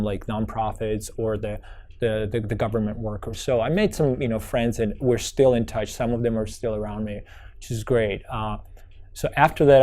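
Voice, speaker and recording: A man's voice: fairly low pitch, warm tone. A young man from Georgia with an American accent, his first language Georgian, telling his life story in an oral history interview, reflective and full of restarts. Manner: reflective, many restarts and fillers.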